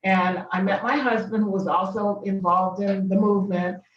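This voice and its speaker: A woman's voice: medium pitch, steady tone, moderate pace. Older woman, distant echoey recording. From Boston, Massachusetts. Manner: thoughtful, many ums and restarts. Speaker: guest, business founder. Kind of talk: interview, recounting her career. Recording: distant, dull, echoey room with faint noise.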